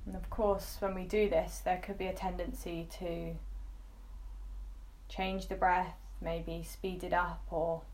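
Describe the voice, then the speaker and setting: A woman leading a guided meditation, giving soft instructions in gently coaxing phrases with pauses. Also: lowish voice, level tone, poor audio.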